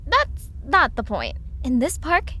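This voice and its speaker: A woman's voice: sits high, wildly swooping pitch, takes it slowly, sharp tone, full character voice. A woman acting a skeptical, insistent young twin sister in an audio drama, speaking conversationally over some background ambience.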